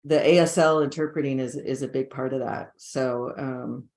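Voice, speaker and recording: Trainer, casual discussion, relaxed, lots of fillers, mid pitch. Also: moderate pace.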